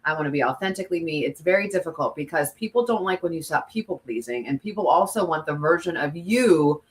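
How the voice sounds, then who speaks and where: Low-voiced woman co-hosting a candid podcast. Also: lively intonation, fast talker, rough recording. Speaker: podcast co-host, former TV news presenter. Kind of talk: candid conversational podcast talk.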